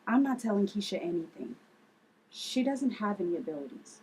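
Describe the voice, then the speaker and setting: A woman's voice: medium pitch, expressive, a little fast, darkish tone, breathy edge, low-quality recording. A woman at a table read playing a fierce, protective aunt who readily confronts and threatens. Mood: reluctant.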